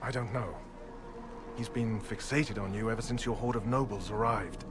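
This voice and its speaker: An actor playing an earnest, duty-bound Templar knight, speaking formally and gravely in a video game cutscene. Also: male voice, fairly low pitch, moderate pace, music in the background, lightly acted.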